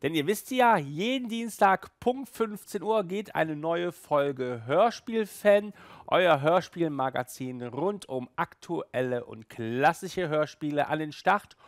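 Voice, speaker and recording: A man's voice: on the high side, expressive, slow pace, dry recording. A man in his thirties hosting a casual video review, talking in a chatty, colloquial way. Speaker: host of an audio drama review show. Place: small room with sofa and shelves, indoors.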